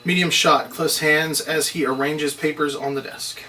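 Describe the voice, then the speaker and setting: Bright-voiced man reading screenplay directions. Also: fairly high pitch, fairly even intonation, moderate pace. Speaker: stage-direction reader. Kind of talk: scripted screenplay narration. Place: room at a script table read. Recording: distant mic, faint background noise.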